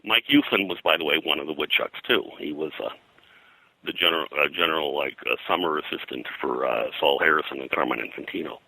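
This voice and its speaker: An older man reminiscing as an interview guest in a halting, digressive way, often clearing his throat. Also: very deep voice, bright, forceful tone, no echo, low-quality recording. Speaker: interview guest, comics historian.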